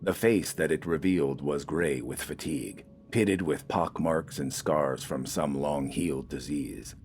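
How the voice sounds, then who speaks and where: A man reading a scripted dramatic story in a measured, deliberate storytelling manner. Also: rumbling low, darkish tone, slight background noise. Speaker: audiobook narrator.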